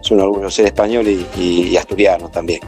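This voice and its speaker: A man's voice: deep voice, steady tone, fast talker, darkish tone, faint music behind. An older man born in Spain who speaks with an Argentine accent, giving an interview with hesitant repeated words. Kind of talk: street interview.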